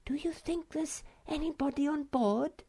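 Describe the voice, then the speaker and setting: A dainty little female storybook creature, speaks in a very high, swooping voice with creaky edges. She is sweet, childlike and easily excited, drawing her words out in a hesitant way. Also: unhurried, exaggerated voice. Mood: hushed, curious.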